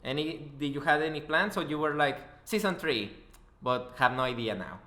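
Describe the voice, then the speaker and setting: Bright-voiced man hosting, in an echoey room. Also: on the high side, moderate pace. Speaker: podcast host, interviewer. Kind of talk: casual chat.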